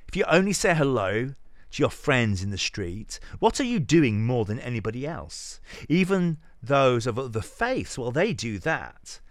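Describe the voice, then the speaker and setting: A man with a medium-pitched voice reads a sermon dramatically. He preaches earnestly, pushing key phrases and letting the ends of sentences fall away. The sound is dry, with very little room in it. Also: fairly bright tone, no room sound. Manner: earnest preaching delivery with emphatic phrases and trailing-off endings. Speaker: podcast host reading scripture aloud.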